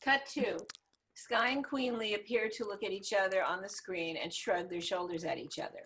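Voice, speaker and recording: A woman's voice: mid-range voice, normal speed, a bit bright, slight background noise. A woman narrating stage directions from a script at a table reading.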